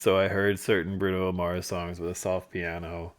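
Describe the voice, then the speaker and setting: A man co-hosting a livestream, chatting casually through stories and photos from a trip, animated when he retells moments. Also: low voice, moderate pace. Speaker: livestream co-host. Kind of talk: casual storytelling chat over travel photos.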